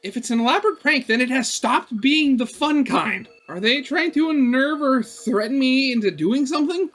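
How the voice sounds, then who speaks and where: A man's voice: very high-pitched, expressive, moderate pace, fairly bright tone. A man narrating a visual novel aloud as a gaming host, switching between storybook-like reading and offhand, sarcastic commentary.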